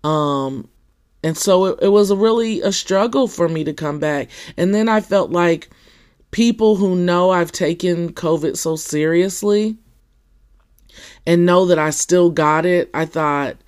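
Podcast host, scratchy and somewhat deep, halting conversational delivery. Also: darkish tone, smooth. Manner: halting, with many fillers and restarts. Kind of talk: casual personal monologue.

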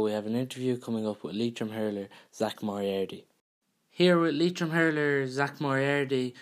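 Man hosting, mid-pitched voice. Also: fairly bright tone, smooth. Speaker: show host, interviewer. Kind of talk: prepared interview questions.

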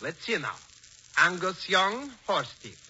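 An actor playing a wily, fawning professor of genealogy with a theatrical, oily manner in a 1940s radio comedy. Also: male voice, sits high, sing-song, slow pace, bright, forceful tone, breathy edge, full character voice. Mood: slyly fawning. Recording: noisy, muffled 1940s radio recording.

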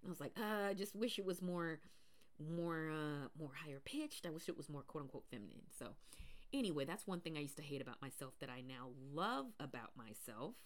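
A woman from the US Pacific Northwest with a deep voice, talking casually and rambling with fillers on her solo podcast. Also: animated, brisk. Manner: rambling, many fillers and restarts. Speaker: podcast host.

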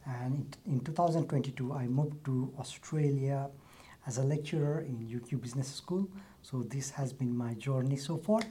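Soft-spoken man, husky. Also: medium pitch, normal speed. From Nepal. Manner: soft-spoken, hesitant with restarts. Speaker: guest, university lecturer. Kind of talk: casual interview answers.

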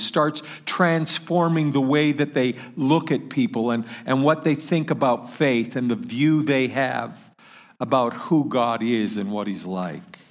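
Male pastor, easygoing conversational sermon delivery, frequent restarts. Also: medium pitch. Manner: conversational preaching with repeated restarts.

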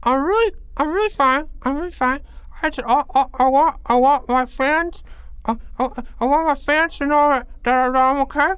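Nervous schoolboy, high squeaky voice, stammering, babbling, dry. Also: male voice, normal speed, fairly bright tone, dry recording. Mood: groggy. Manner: frantic, repeats words, later groggy and slurred. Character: anxious schoolboy Jeffrey, panicky and babbling.

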